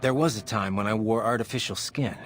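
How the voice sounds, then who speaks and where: An actor playing a brooding, cynical cyborg mercenary in a video game, gruff and terse. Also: male voice, on the low side, a little fast, close to an everyday voice. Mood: wry, reflective. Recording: music behind.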